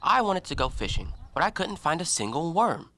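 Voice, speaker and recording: A man playing a talkative, educated and self-righteous gentleman antiquarian in an audio drama, given to theatrical, argumentative speeches. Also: a little high, big pitch swings, moderate pace. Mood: restless, probing. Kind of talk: stage-play acting in an audio drama.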